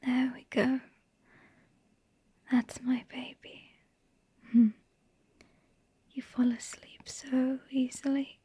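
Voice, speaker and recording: A woman playing a tender, melancholy vampire wife in an intimate ASMR roleplay, murmuring softly and breathily, half in a whisper. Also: high voice, little pitch movement, slightly rough, no room sound, full character voice. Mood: soothing, affectionate. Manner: slow, hushed, lovingly murmured.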